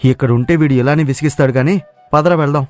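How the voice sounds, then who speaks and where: A man's voice: fairly high pitch, fast, darkish tone, close to an everyday voice. A man playing a lazy, cocky young village daydreamer, brash and impatient, in a moral story. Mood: mocking. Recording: music playing behind the voice.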